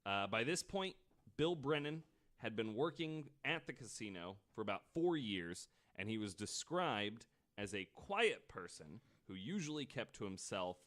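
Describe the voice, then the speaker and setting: A man's voice: on the low side, very animated, measured pace, a bit bright. A man hosting a podcast, telling stories in a casual, informal and joking way. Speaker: podcast host. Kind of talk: casual conversational storytelling.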